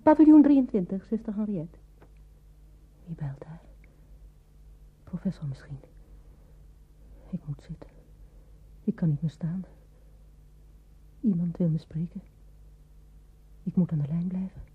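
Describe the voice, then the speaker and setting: A woman playing an anxious, timid hospital nurse in a radio drama, with hushed inner thoughts and dutiful replies, on a tinny old recording. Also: on the low side, animated, a little slow, pauses often, slightly rough, heavily acted. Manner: hushed whispered asides between dutiful phone replies.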